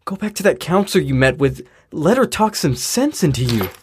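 A performer with a husky voice playing a blunt, frustrated but concerned young boyfriend in a naturalistic audio drama. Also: female voice, deep voice, big pitch swings, a little fast, darkish tone, full character voice. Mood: exasperated. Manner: casual, exasperated, blunt.

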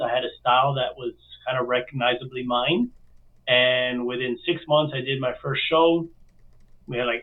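A man from Miami talks as an interview guest, relating his story in a casual, chatty way. His voice is mid-pitched and comes through thin and phone-like, sitting some distance from the microphone. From Miami, United States. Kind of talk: casual chat, telling own story.

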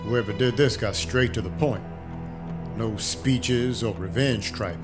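A man's voice: lowish voice, a little slow, lightly acted. An actor playing a wry, hard-boiled vampire detective in an audio drama, dry, matter-of-fact and clipped in his delivery. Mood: dry, focused. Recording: music under the voice. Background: music.

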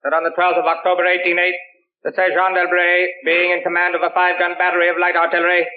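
An actor playing a formal Inquisition court clerk, reading in an official, declamatory monotone in old radio drama. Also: male voice, high-pitched, fast talker, natural voice. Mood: officious. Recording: tinny, echoey old radio recording, faint noise.

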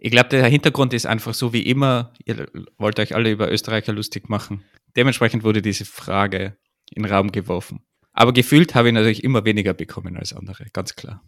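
Wry Austrian podcast co-host. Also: male voice, lowish voice, fairly even intonation, fairly quick, a bit bright. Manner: wry, self-deprecating. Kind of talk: casual podcast chat.

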